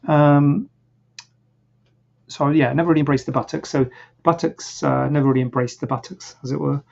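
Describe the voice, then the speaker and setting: Husky, gravelly man, hesitant and rambling. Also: a little high, fairly even intonation, normal speed, darkish tone. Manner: rambling, hesitant, many fillers and restarts. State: a bit croaky, clearing throat. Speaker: plastic surgeon hosting a live Q&A. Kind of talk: casual live Q&A answering viewer questions. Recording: dull, lo-fi livestream audio.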